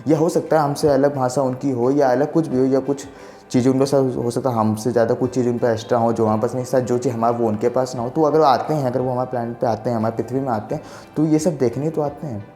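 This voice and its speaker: A man's voice: medium pitch, steady tone, fast talker, mellow, roomy sound, in a large hall, faint music behind. A man in his twenties casually explaining a science idea, rambling and often checking that the listener follows. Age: 20s. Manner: rambling, repeats filler checks for agreement. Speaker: science explainer channel host. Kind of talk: casual explainer talk to camera.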